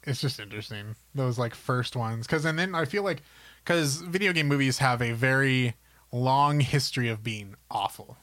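A man's voice: mid-range voice, moderate pace, fairly bright tone. A man co-hosting a podcast, chatting casually and tossing in jokey remarks. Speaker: podcast co-host. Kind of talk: casual chat.

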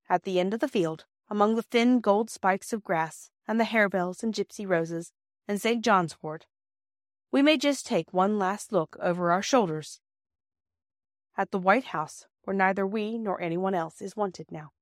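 Female audiobook narrator: measured, expressive storybook reading, mid-range pitch, very dry recording. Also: no room sound.

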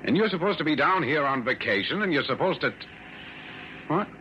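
A man's voice: on the high side, lively intonation, brisk, sharp tone. An actor playing a detective's genial, talkative businessman friend in a radio drama, chatty and coaxing. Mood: puzzled, exasperated. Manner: chatty, coaxing, exasperated at times. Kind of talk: old-time radio drama acting. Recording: muffled vintage radio recording, faint noise.

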